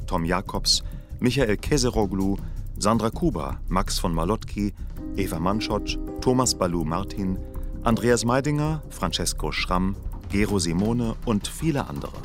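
Music plays clearly behind a male announcer with a lowish voice. He reads radio drama credits in a measured, matter-of-fact, scripted way. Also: expressive. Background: prominent music.

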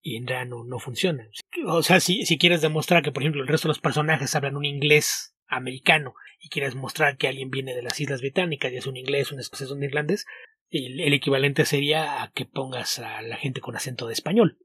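A man, co-hosting a podcast and explaining his translation work in a steady way, restarting his words often. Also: mid-range voice, brisk, husky, no echo. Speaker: podcast co-host, comic translator. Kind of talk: casual podcast chat.

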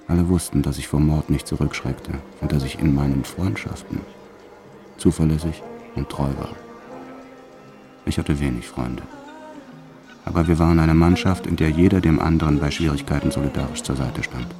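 A proud, dangerous male gangster recounting his life for a radio play. His voice is extremely deep and creaks with gravel. He speaks in a measured, sombre confessional manner, cold and hard, with faint music underneath. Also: steady tone. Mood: detached, proud. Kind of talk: first-person radio play narration.

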